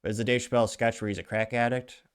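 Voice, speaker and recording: A man's voice: mid-range voice, flat delivery, very fast, clean tone. A man hosting his own show, reading social media posts aloud with chatty asides in casual news commentary. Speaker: show host.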